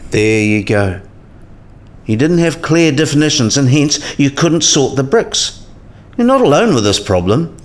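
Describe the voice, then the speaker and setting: Man acting animated, eccentric old professor. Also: medium pitch, a little slow. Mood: probing, didactic. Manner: musing, didactic. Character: eccentric, kindly old male philosophy professor. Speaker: professor character in a radio play. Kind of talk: scripted radio play dialogue.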